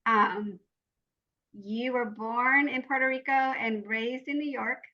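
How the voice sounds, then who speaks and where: Bright-voiced woman podcast host. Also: fairly high pitch, fairly even intonation, slow pace, lo-fi sound. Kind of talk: casual interview.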